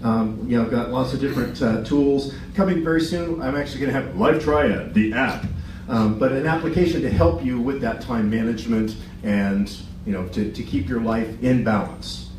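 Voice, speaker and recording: A middle-aged man giving a short business pitch in a meeting room, chatty and self-deprecating, with many restarts. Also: normal speed, darkish tone, a little hiss or hum. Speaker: business presenter. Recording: echoey room, far from the mic.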